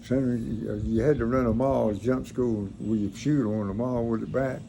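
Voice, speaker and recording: A man's voice: medium pitch, steady tone, normal speed. An older man, telling stories informally in a folksy, plain-spoken, rambling way, like a grandfather recalling his service. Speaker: grandfather recounting his military past. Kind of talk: informal reminiscing, storytelling. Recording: noisy room, clear background noise.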